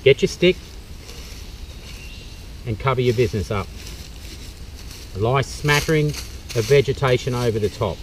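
A man's voice: mid-range voice, a little slow, pauses often. A middle-aged man with an Australian accent, giving a practical outdoor demonstration as a bushcraft instructor, explaining step by step with some fillers. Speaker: bushcraft instructor and tour guide. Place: eucalyptus forest outdoors. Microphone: camera mic from a few metres away. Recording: distant outdoor camera audio, faint background noise.

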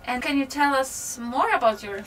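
A woman's voice: high-pitched, moderate pace, a bit bright, distant mic, poor audio. A woman interviewer putting short, casual questions to guests. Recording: off-mic voice, clear background noise.

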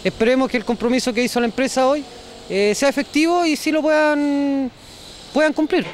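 A man's voice: very high voice, steady tone, brisk, slight background noise. A man, interviewed outdoors as a resident, speaking in a doubtful, resigned way into handheld microphones. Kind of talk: street interview. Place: outdoors on a street. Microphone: handheld reporter microphones.

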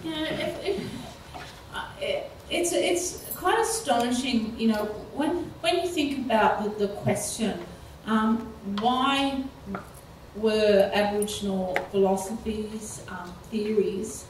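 A middle-aged woman speaking on a conference panel, halting with many fillers and restarts. She speaks into a handheld mic. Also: on the high side, a little hiss or hum. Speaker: keynote speaker. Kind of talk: panel discussion. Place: lecture hall, indoors. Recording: echoey hall from a distance.

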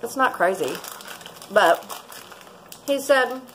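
A woman's voice: a little high, lively intonation, takes it slowly, a bit bright, a little hiss or hum, low-quality recording. A woman, chatting casually and rambling through a cooking vlog in her home kitchen, recorded by a camera close by. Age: 40s. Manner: chatty, rambling, laughs often. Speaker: vlogger. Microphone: fixed camera at close range.

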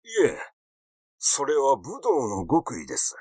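A man's voice: mid-range voice, huge pitch swings, slow, warm tone, slightly rough, no echo, studio quality, full character voice. A man voicing an aging master swordsman in an audiobook, vain yet meekly pleading, with stiffly polite, old-fashioned speech. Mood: earnest, defensive. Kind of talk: audiobook character reading.

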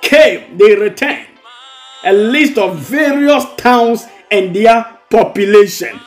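A man's voice: sits high, expressive, takes it slowly. A man with a Ghanaian accent preaching a devotional as a pastor, exhorting and repeating his phrases. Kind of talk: devotional sermon with prayer and blessings. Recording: music bed under the voice. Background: music.

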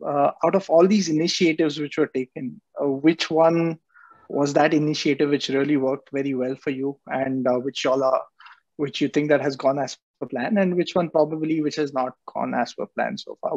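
A man with an Indian English accent moderates a video-call panel, asking long polite questions. His voice sits a little high for a man. The audio is very dry and dull, with no room sound. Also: fairly even intonation, normal speed, darkish tone, no echo.

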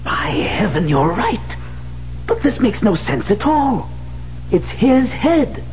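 An actor playing a shocked, protective gentleman in a 1970s radio drama. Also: high voice, big pitch swings, slow, full character voice. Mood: shocked, urgent. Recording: muffled old radio, faint hum.

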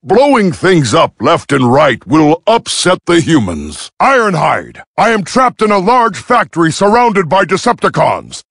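An actor playing a gruff robot medic soldier in a video game, with a raspy voice and a dry, urgent delivery. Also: male voice, a little high, huge pitch swings, unhurried, few pauses, fairly bright tone, dry recording, exaggerated voice. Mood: grave, businesslike. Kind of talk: scripted video game battle dialogue.

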